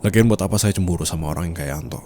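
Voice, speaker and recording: A man's voice: deep voice, rapid-fire, a little mellow, a little hiss or hum, close to an everyday voice. A man with an Indonesian accent playing a possessive, teasing boss and doting fiancé in an intimate ASMR roleplay, flirtatious and playfully domineering. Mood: jealous, defensive. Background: ambient room sound effects.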